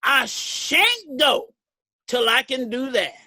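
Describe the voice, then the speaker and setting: An impassioned suffragist orator, acted by a woman, declaims for her rights in a reader's theater piece. Her voice is high, forceful and animated, with emphatic delivery and a very dry sound. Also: takes it slowly, no echo, exaggerated voice. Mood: defiant, rousing.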